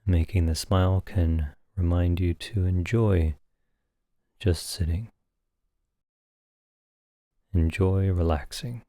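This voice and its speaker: Male meditation teacher, deep mellow voice, calm gentle instruction, long pauses, close dry sound. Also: no room sound. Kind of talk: guided meditation narration.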